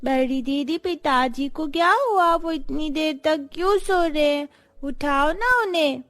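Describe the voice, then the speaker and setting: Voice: high voice, unhurried, a bit bright, soft background music, voice pushed up. A performer voicing an innocent, hungry little girl in a cartoon story, childlike and pleading. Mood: confused, sad. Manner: childlike, plaintive.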